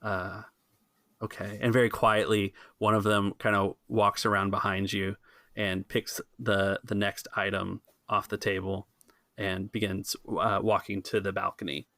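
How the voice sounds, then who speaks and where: Low, creaky male game master. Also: flat delivery, sharp tone, no room sound, clean studio sound. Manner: halting, informal. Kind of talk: improvised tabletop game narration.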